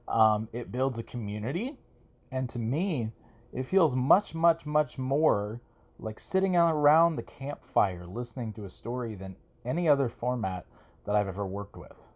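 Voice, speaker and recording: A man's voice: medium pitch, darkish tone. A man talking casually and emphatically as a podcast host, speaking on his own and repeating words for effect. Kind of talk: casual solo podcast monologue.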